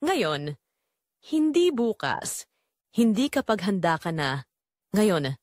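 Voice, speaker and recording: A woman's voice: mid-range voice, very animated, takes it slowly, darkish tone, no room sound, clean studio sound. A woman voicing an angry, wounded adult daughter in an audio drama, speaking in a hard, accusing and commanding way. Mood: hard, demanding. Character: angry wounded adult daughter confronting her father's mistress. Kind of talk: dramatic audio story dialogue.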